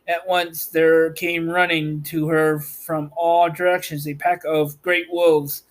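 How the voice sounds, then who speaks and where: A man narrating a storybook aloud as host, in a measured, slightly halting read. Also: on the high side. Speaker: host reading an audiobook chapter.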